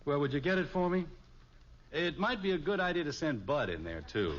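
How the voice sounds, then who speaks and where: A man's voice: a little high, animated, a little fast, close to an everyday voice. An actor playing a nervous, stammering middle-aged father in an old radio comedy, over-explaining himself. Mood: flustered. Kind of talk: 1950s radio comedy acting. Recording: dull old radio recording, faint hiss.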